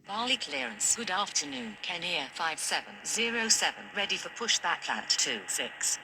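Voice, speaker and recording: A woman's voice: mid-range voice, expressive, normal speed, creaky. Female air traffic voice, middle register, formulaic scripted calls, noisy audio. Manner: formulaic callouts. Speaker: air traffic radio voice in a simulator. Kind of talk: scripted aviation radio phraseology.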